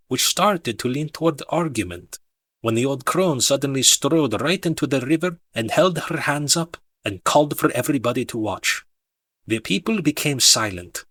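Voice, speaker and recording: A man narrating an audiobook in a measured, dramatic storytelling manner. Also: mid-range voice, pushed and bright, slightly rough, no room sound. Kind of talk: expressive scripted audiobook narration.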